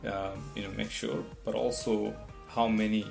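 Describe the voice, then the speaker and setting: A man's voice: on the low side, level tone, a little slow, creaky, darkish tone. A man whose first language is not English gives rambling test-prep advice, restarting often and checking in with "right?". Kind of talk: casual advice talk to camera. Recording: guitar music in the background.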